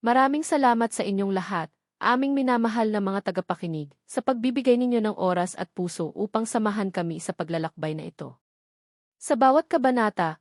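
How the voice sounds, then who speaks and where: Woman, Filipino accent, story narrator, medium pitch, earnest and sentimental delivery, measured dramatic phrasing, dry recording. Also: darkish tone, no room sound. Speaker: narrator of online love stories.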